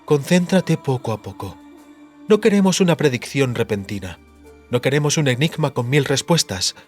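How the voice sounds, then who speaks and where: Man playing a stern, brusque high priestess. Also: animated, moderate pace, soft background music, lightly acted. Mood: calm, instructing. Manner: brusque, mocking, authoritative.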